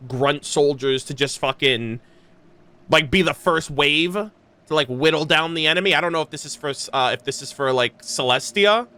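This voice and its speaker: A man's voice: fairly high pitch, pushed and bright, smooth. A young man doing casual reaction commentary as a game streamer, talking in an informal, slangy way. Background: game music.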